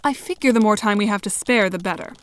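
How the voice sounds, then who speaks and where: A woman's voice: medium pitch, fast, slight background noise, close to an everyday voice. A woman playing a dry, self-assured, sardonic teenage girl with formal diction in an audio drama.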